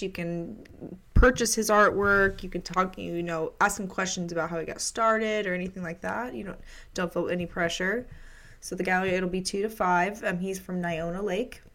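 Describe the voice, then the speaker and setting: A woman's voice: mid-range voice, fairly bright tone. A woman hosting a podcast, reading out announcements from notes. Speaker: podcast host.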